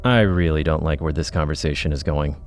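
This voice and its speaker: A man's voice: a bass voice, a little fast, darkish tone. A man playing an uneasy paranormal investigator, narrating his story confidingly in an audio drama. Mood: uneasy, suspenseful. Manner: confiding, suspenseful. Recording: faint music under narration.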